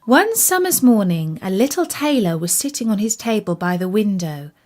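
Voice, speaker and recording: A woman reading a scripted fairytale for children in an expressive, deliberate storytelling delivery. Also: medium pitch, soft background music.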